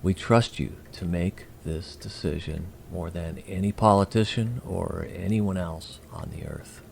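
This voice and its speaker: Alien emissary for sci-fi audio drama, played by a man: low, gravelly, courteous and formal, faint noise behind. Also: fairly even intonation, a little mellow. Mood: solemn, explanatory. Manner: formal, measured, precise wording. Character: polite, curious, formal male alien emissary.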